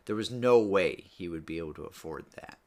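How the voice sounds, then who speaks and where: Male audiobook-style narrator, fairly low pitch, measured storytelling delivery with falling phrase endings. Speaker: author or reader narrating a book read-aloud.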